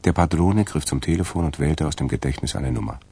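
A man narrates a crime radio drama in a rock-bottom register. His voice is husky and full of gravelly fry, delivering scripted prose. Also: extremely low pitch, fast talker, mellow.

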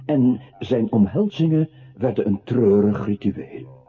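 An actor playing a scornful narrator in a radio drama, sardonic and theatrical, with a very raspy voice. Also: male voice, on the low side, animated, a little slow. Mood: mocking. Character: mocking, scornful male narrator belittling a pitiful man. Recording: muffled phone-like old radio recording.

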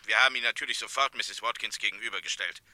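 An actor playing a stern, controlled state prosecutor in a radio crime play, speaking in clipped, matter-of-fact phrases. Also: male voice, fairly low pitch, quick pace, pushed and bright, no echo. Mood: cool, official.

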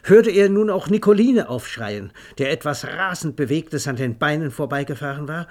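Ein Mann erzählt in einem Hörspiel eine literarische Geschichte, ausdrucksvoll, genau und mit leiser Ironie. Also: male voice, a little high, expressive, moderate pace, lightly acted. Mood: urgent. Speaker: narrator. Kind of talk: scripted literary narration for a radio play.

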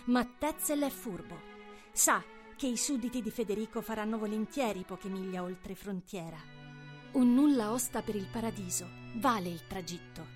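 A woman with a fairly high voice narrates a historical radio drama. She reads the scripted chronicle in deliberate, declarative phrases, with music playing underneath.

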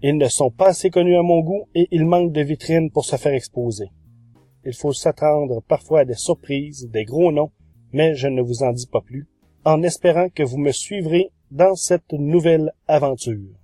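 A man presents a podcast review monologue. His voice sits a little high and is mellow rather than bright. The sound is close and dry. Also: moderate pace, no echo, faint music behind. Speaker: podcast host.

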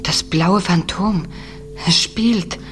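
A woman's voice: on the low side, slow, over music. A woman playing an anxious teenage boy, a nervous detective sidekick full of worried questions, in an audio drama. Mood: nervous, doubtful.

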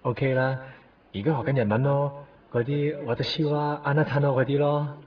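A man's voice: medium pitch, fairly even intonation, moderate pace, close to an everyday voice. Man acting young student, tender, radio drama, dull distant recording. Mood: tender, surprised. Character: tender young male student living abroad.

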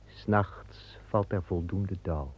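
An actor playing the angel who guards the garden of Eden as a gardener, speaking calmly and tersely in a radio drama. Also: male voice, deep voice, takes it slowly, breathy edge, heavily acted. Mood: stern. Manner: calm, terse, unbending. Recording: tinny narrow-band old radio recording.

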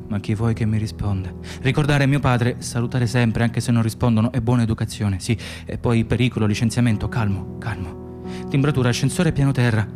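An actor playing an exhausted, anxious immigrant nurse in a radio play, speaking in fragmented, repetitive phrases. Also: male voice, medium pitch, close to an everyday voice. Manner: fragmented, self-muttering, repetitive. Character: exhausted, anxious Moroccan immigrant nurse, man, muttering inner thoughts. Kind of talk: radio theatre interior monologue and dialogue.

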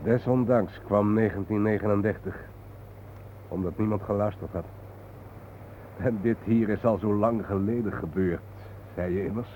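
Low-voiced, weary, brooding man acted on old radio. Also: moderate pace, close to an everyday voice. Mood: grave. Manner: grave, reflective. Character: weary, brooding, haunted male guide, calming a companion. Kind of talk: radio drama acting, at times poetic. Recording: tinny narrow-band old radio recording, hissy.

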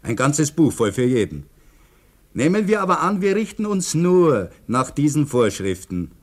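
An actor with an Austrian accent playing a philosophical dam construction worker in a 1950s radio drama, reflective and earnest. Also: male voice, fairly high pitch, big pitch swings, normal speed. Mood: earnest, musing. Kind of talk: 1950s German-language radio drama acting.

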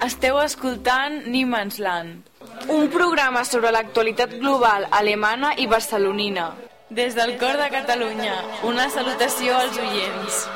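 Female radio jingle announcer, high voice, prominent music underneath. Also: normal speed. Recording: music behind the voice.

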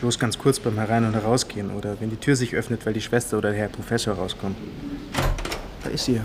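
An actor playing a timid, evasive young police guard in a radio drama, with a Bavarian accent and a plain, hesitant manner. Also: male voice, fairly low pitch, steady tone, fast, lightly acted. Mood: reluctantly confiding. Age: young adult. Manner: plain-spoken, hesitant, defensive. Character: timid 21-year-old male police guard, evasive, dutiful. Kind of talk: naturalistic radio drama dialogue. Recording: clearly noisy ambient background.

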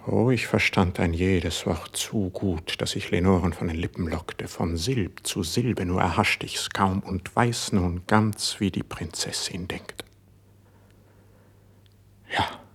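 An actor playing a wounded, proud young poet in a classical German radio verse drama, with husky, solemn, declamatory diction. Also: male voice, deep voice, takes it slowly, heavily acted. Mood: bitter, brooding.

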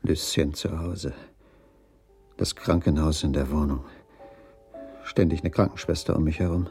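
An actor playing a brooding, pleading, jealous married waiter in a German radio crime drama. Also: male voice, a bass voice, fairly even intonation, a little slow, creaky, darkish tone, breathy edge, faint music behind, full character voice. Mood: despairing. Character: tormented, jealous married dining-car waiter, lovesick and brooding.

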